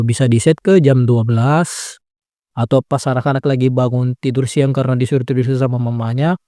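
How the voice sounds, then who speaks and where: A man's voice: mid-range voice, mellow, no room sound, clean studio sound. A young man giving a casual, chatty step-by-step editing tutorial, laid-back with lots of filler tags. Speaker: tutorial creator. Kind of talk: casual screen-recording tutorial.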